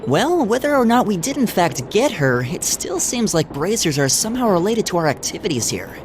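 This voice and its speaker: An actress playing a thoughtful, friendly young student in a video game, speaking tentatively but expressively. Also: female voice, medium pitch, very animated, moderate pace, a little hiss or hum, close to an everyday voice. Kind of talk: video game cutscene dialogue acting.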